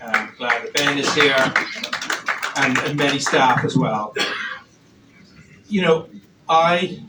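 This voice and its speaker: Irish man, organization rep at the mic, halting and measured with lots of fillers, heard from off the mic in a reverberant room. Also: mid-range voice, very noisy. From Ireland. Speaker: organization representative. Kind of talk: public welcoming speech. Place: event hall. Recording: roomy, distant hall.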